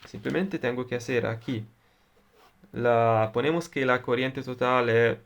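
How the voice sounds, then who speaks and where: A man with an Italian accent, a native Italian speaker, explaining electronics in a deliberate, halting way with frequent fillers. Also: mid-range voice, fairly even intonation, vocal fry. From Italy. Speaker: electronics tutor. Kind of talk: explaining circuits at a whiteboard.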